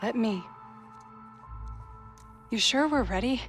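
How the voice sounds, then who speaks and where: An actress playing a determined young activist in a video game, speaking in terse, deliberate phrases. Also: female voice, a little high, animated, a bit bright. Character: determined, steely young female activist accomplice. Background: background music.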